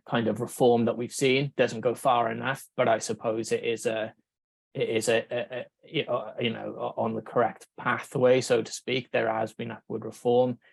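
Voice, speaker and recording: A Welsh man, a researcher, giving a conference talk in a measured way, thinking aloud with fillers and restarts. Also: medium pitch, creaky, dry recording. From Wales. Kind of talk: conference presentation.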